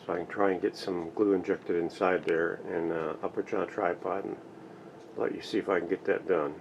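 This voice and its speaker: A man's voice: low voice, steady tone, brisk, creaky, dull audio, slight background noise. A man giving a casual, plainspoken hands-on workshop demonstration, narrating each step as he works. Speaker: vintage radio restorer and host. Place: home workshop.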